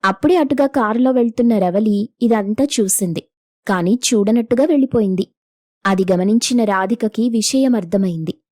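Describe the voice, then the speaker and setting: A woman's voice: medium pitch, darkish tone, no echo, studio quality. A woman narrating a scripted moral story as the storyteller.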